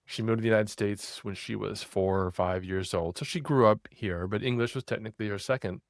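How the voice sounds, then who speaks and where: Male podcast host, relaxed and agreeable, lowish voice, dry close mic. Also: a little fast, no room sound. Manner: friendly, agreeing, conversational. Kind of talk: casual interview chat.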